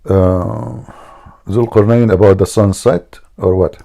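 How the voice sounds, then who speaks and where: Gravelly man. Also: lowish voice, steady tone, slow pace, mellow. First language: Arabic. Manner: mocking, emphatic, repeats himself. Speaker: debate show host. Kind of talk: argumentative religious debate.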